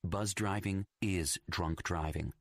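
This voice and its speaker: A man reading a scripted radio public service announcement in a measured, sober way. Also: low voice, steady tone, no room sound, clean studio sound. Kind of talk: scripted radio PSA voice-over.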